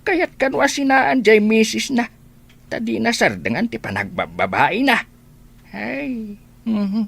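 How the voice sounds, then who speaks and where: An actor as a gossipy older uncle from a radio drama, with a husky voice and an Ilocano accent. He is plain-spoken and working-class, and his pitch moves about freely as he talks. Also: very animated, measured pace, a bit bright, full character voice. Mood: gossipy, insistent.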